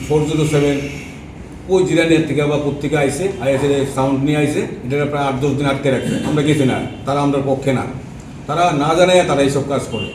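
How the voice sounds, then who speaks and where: A man with a Tripura Bengali accent speaks haltingly as an association spokesman briefing a press conference. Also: fairly high pitch. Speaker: trade association secretary, spokesman. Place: press meet in an echoey room. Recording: echoey, distant mic, noisy room.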